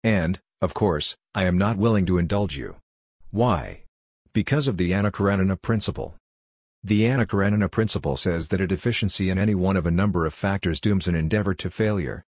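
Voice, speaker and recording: An older man from Hungary, whose first language is Hungarian, speaks in a deep, breathy-edged voice. He talks in a blunt, lecturing monologue. The sound is close and dry, with no room around it. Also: brisk, darkish tone, no echo. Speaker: workshop leader. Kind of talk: scripted monologue.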